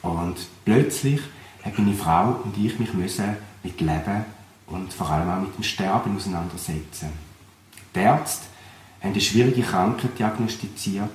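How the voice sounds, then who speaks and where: A young Swiss pastor with a Thurgau accent speaks in an earnest, reflective way, his voice sitting somewhat low. The recording is roomy, with a faint hum of background noise behind him. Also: darkish tone. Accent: Swiss German, Thurgau. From Switzerland. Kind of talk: personal testimony.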